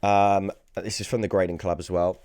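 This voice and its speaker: A British man with a hoarse, raspy voice that sounds worn out, hosting a casual sports card unboxing video. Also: low-pitched, level tone, normal speed, a little mellow, slight background noise. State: hoarse, lost voice from screaming the night before.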